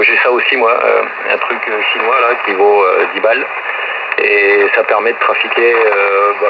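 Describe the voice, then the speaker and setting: A man heard over a muffled, noisy shortwave radio, chatting casually and a little hesitantly as a ham operator. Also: on the low side. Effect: shortwave radio transmission.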